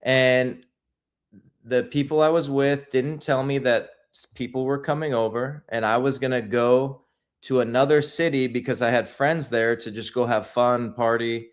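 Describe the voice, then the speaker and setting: A man with a voice of ordinary middle pitch, chatting as a guest on an interview show. He tells his stories casually and loosely, circling back with "like" and restarting his sentences. The delivery is relaxed and unscripted. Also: normal speed. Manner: storytelling, rambling with restarts and "like". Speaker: guest filmmaker.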